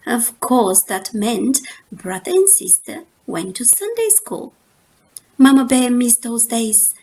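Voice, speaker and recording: A woman reading a children's picture book aloud in a measured, expressive storytime style. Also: on the high side, breathy edge, poor audio. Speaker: storytime reader.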